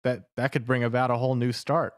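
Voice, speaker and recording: A man's voice: mid-range voice, flat delivery, quick pace, dry recording, studio quality. A man musing tentatively as a podcast panelist, speculating in a casual, joking way.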